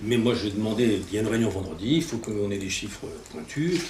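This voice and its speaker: A man with a lowish voice replies during a meeting. He sounds distant, with the room echoing and plainly audible noise behind him. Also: animated, quick pace. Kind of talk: spoken reply at a meeting. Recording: distant, echoey, clearly noisy room sound.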